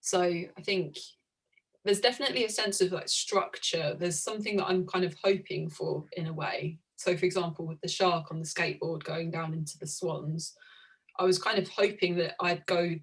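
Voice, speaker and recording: A woman's voice: fairly low pitch, steady tone, normal speed, breathy edge, rough recording. A woman artist in conversation, reflective, often searching for words and laughing easily. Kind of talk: conversation about art.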